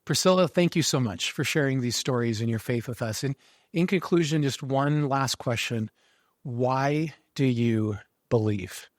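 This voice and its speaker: A man hosting a faith podcast interview who responds warmly and affirms his guest. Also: medium pitch, unhurried, no room sound, studio quality. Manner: friendly, affirming. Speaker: podcast host.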